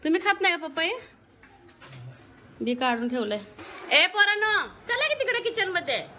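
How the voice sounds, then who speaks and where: A high-pitched woman with a rural Maharashtrian accent talks through her day as a family vlogger. She chats casually, asking questions and telling children what to do. The recording is made at home with clear noise behind her. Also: big pitch swings, moderate pace, pushed and bright. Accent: rural Maharashtrian Marathi. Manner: chatty, questioning and instructing children. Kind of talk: casual home vlog narration and chat with family.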